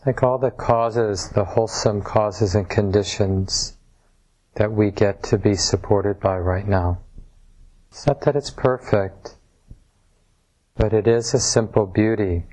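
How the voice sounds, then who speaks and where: A man leading a guided meditation as a teacher, speaking in measured short phrases with pauses between them. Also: fairly low pitch, darkish tone, low-quality recording. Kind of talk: guided meditation, softly instructive. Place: room at a retreat center.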